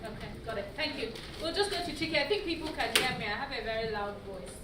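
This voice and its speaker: A woman's voice: fairly high pitch, animated, fast, heavy background noise. Polite woman moderating a panel, roomy. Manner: polite, with "um" fillers. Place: large conference hall. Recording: echoey conference hall from far off. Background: clear room ambience.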